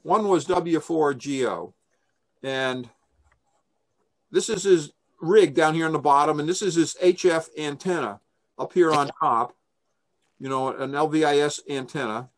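A man's voice: on the high side, unhurried. An older man giving an informal slide briefing over a video call, conversational and enthusiastic in manner. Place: small room indoors, on a video call. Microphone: video call microphone.

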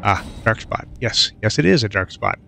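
Easygoing gravelly-voiced man playing a game. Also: lowish voice, expressive, moderate pace, sharp tone. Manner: easygoing, muttering to himself with filler sounds. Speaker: let's play gamer. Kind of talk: casual gameplay commentary.

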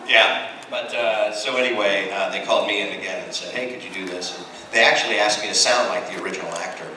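A middle-aged American man chats casually on stage as a panel guest, acting out his stories. His voice is fairly low, heard through a handheld mic in a very echoey hall, recorded from far off with clear background noise. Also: quick pace. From United States. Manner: animated storyteller, acting out quoted exchanges. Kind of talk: casual anecdotes at a convention panel. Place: large convention hall, on stage. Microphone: handheld mic through PA, filmed from audience.

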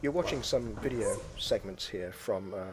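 A man, a school educator, giving a measured conference talk over slides and video, often pausing with "uh". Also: lowish voice. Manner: measured and explanatory, with frequent "uh" pauses.

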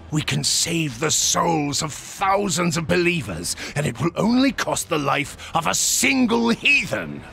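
An actor playing a stern, zealous holy knight grandmaster in a video game, commanding and pompous. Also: male voice, a little high, gravelly, bright, forceful tone, full character voice.

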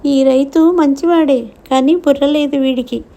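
Woman voicing a high-pitched grumbling baby elephant. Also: a little slow, mellow. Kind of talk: cartoon moral story voice acting.

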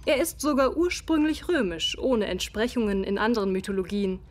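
A woman's voice: medium pitch, a little fast, slight background noise, close to an everyday voice. An actress playing a proud, defensive Victorian woman doctor in a radio play, with formal, precise, haughty delivery. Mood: composed, professional. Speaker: voice actor in an audio drama. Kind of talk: German radio play dialogue.

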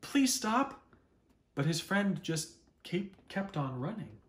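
A man in his thirties reading a children's picture book aloud expressively, with dramatic, deliberate pauses. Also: on the high side, lively intonation. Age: 30s. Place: small living room at home, indoors.

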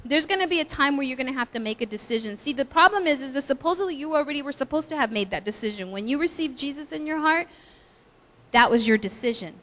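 A middle-aged woman pastor with a high, girlish voice, giving a chatty, animated talk at a women's breakfast. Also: fast talker. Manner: chatty, animated storytelling with asides. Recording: hall reverb.

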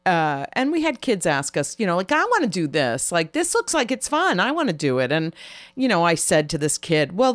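A middle-aged woman, a guest actress, chatting wryly and expressively in an interview. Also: dry recording. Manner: expressive, wry, swings between high and low tones. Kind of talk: casual interview chat.

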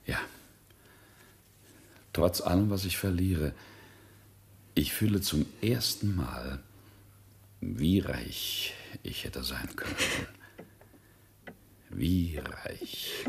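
Deep-voiced accused businessman. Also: expressive, drawn-out words, vocal fry, pauses often, background noise, full character voice. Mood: earnest, regretful. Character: accused wealthy businessman, bitter, regretful husband and father. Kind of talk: German radio drama acting.

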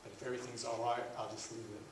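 A man's voice: medium pitch, flat delivery, very fast. A middle-aged man hosting an event, giving introductions to a live audience in a meeting room. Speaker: event host introducing panelists. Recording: echoey room from far off, clear noise.

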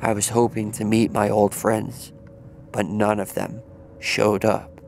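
A male narrator reads a scripted story in a low-key monotone. His delivery is slow and measured, with a gravelly edge, and music plays underneath.

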